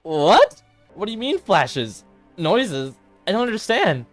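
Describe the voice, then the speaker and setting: A man's voice: high voice, expressive, brisk, soft background music, voice pushed up. A man voicing a frantic, loyal teenage girl who pleads emphatically in a dramatic visual novel performance. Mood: alarmed.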